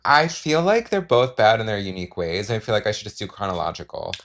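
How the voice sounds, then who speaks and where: A man's voice: fairly low pitch, big pitch swings, fast talker, gravelly, a bit bright. A man co-hosting a podcast, telling stories in a candid, gossipy casual chat, often saying "like". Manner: candid, gossipy storytelling with frequent "like". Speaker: podcast co-host.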